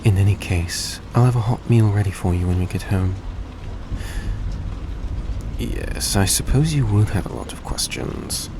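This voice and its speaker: A man playing a suave, tender centuries-old vampire in a roleplay audio drama, speaking in a soft, coaxing, genteel way to the listener. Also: fairly low pitch, a little slow, pauses often. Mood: apologetic, gracious. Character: suave, gracious centuries-old male vampire, young-looking, tender and grateful. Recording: steady background noise, vehicle-like ambience.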